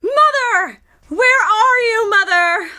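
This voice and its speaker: A very high, bright young maiden, trapped and despairing. She wails and pleads in sweeping, drawn-out stage melodrama, as in a game's ancient Greek tragedy. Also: animated, heavily acted. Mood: anguished calling. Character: despairing young Persephone, pleading captive daughter in stage play. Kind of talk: theatrical stage-play declamation in a game.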